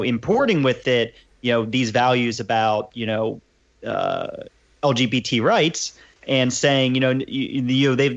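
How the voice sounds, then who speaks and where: Low-voiced podcast host, hedging. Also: male voice. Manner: thoughtful, hedging with many "you know"s. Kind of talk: casual discussion. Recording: dull, slightly lo-fi remote audio.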